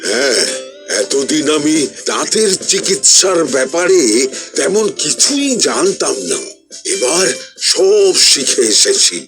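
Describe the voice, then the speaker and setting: A gentleman of the royal court in a Bengali cartoon. He speaks in a measured but highly animated way. Music runs behind him. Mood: pleased, explaining. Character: men of a royal court: physician and king.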